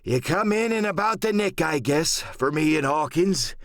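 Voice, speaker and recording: A man's voice: fairly high pitch, animated, moderate pace, a bit bright, no echo, clean studio sound, pitched above the performer's own voice. A man voicing a sly, insolent old one-legged pirate, coolly mocking and drawling, in an audiobook drama. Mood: wry.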